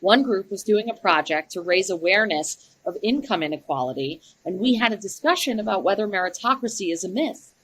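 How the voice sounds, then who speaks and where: An actress playing a young, troubled new teacher in an audio drama, speaking earnestly and reflectively. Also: female voice, medium pitch, expressive, brisk, fairly bright tone, natural voice, rough recording. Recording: clear background noise and ambience.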